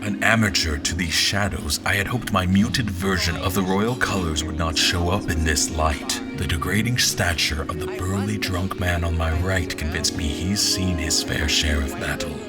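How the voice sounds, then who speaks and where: A man's voice: low-pitched, normal speed, hardly any pauses, close to an everyday voice. A man playing a wary, brooding general who narrates his own fantasy tale with a wry, literary turn. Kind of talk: scripted first-person fantasy narration. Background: music.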